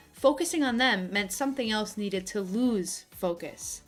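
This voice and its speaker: A young woman delivering opinionated, scripted video-essay commentary with casual asides, in an expressive, emphatic manner. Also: on the high side. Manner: expressive, emphatic, gushing and griping. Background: background music.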